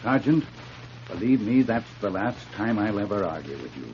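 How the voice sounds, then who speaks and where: A low-pitched, earnest frontiersman, played by a male actor, plain and folksy in his talk. It sounds like vintage radio drama, muffled with light noise. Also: expressive, normal speed, natural voice. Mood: earnest, contrite. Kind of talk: old-time radio drama acting.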